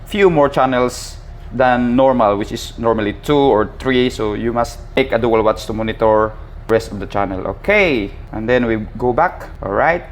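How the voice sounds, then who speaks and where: A man casually teaching a hands-on equipment tutorial as an instructor, halting and repetitive, often addressing his listeners directly. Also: medium pitch, a little hiss or hum. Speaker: maritime instructor and channel host.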